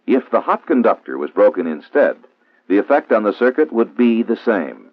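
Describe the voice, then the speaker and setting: A man's voice: fairly low pitch, very animated, dry recording. Band-limited 1960s film narrator, American man. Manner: formal, measured, didactic. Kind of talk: scripted instructional narration, 1960s training film. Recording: old 1960s film soundtrack, narrow phone-like band, dry.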